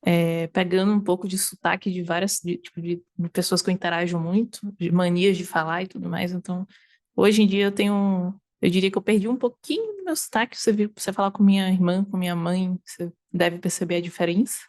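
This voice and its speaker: A woman's voice: lowish voice, a little fast, darkish tone. A woman with a slightly mixed Northeastern Brazilian accent from Sergipe, chatting informally as an interview guest, rambling a bit with frequent tag questions. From Aracaju, Sergipe, northeastern Brazil. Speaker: interview guest, variety game streamer. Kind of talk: casual conversational interview.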